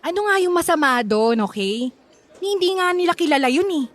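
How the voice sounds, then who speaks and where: A woman's voice: sits high, lively intonation, a little fast. A woman acting a stubborn, guarded young woman in a radio drama, casual and bickering, with a Filipino accent. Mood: sulky, defensive.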